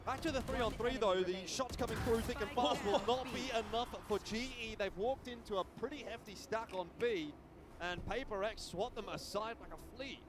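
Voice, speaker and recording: A man's voice: high voice, moderate pace, background noise. A man giving live esports commentary with conversational analysis.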